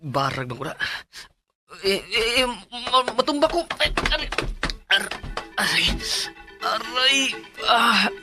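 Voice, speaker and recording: An actor with a Cebuano accent playing an anxious male patient with high blood pressure in a Bisaya radio drama. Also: expressive, slow, over music, full character voice. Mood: pained, panicked. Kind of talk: Bisaya radio drama acting and letter narration.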